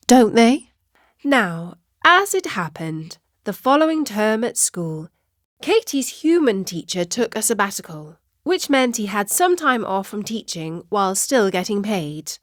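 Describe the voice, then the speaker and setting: A woman narrating a scripted children's story with deliberate, dramatic phrasing. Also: mid-range voice, no echo, clean studio sound.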